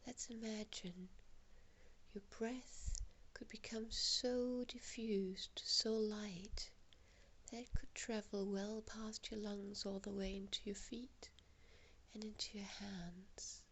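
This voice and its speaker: A woman guiding a relaxation meditation in a soft, breathy, half-whispered voice, giving gentle, hushed instructions with long pauses. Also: mid-range voice, flat delivery, slight background noise.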